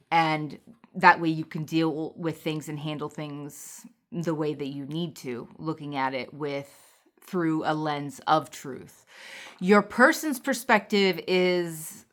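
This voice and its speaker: A woman doing a casual spiritual tarot reading, speaking haltingly as she goes through the cards. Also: sits low, a bit bright. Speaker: tarot card reader. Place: indoors at a table, away from home.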